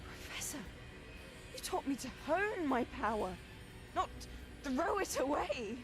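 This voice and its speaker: A woman's voice: high voice, sing-song, measured pace, exaggerated voice. An actress playing a defiant, anguished witch in a fantasy game, speaking in a dramatic, accusing and emphatic way. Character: defiant, anguished, obsessive witch, former pupil of the professors. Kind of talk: cinematic fantasy game acting. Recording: loud dramatic music underneath. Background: music.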